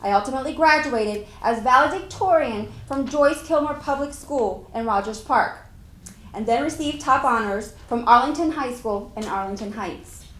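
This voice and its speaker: A woman's voice: fairly high pitch, moderate pace, a bit bright, lightly acted. A woman playing an accomplished physician, formally reciting her life story in a scripted dramatic reading. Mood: formal, proud. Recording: echoey hall stage recording.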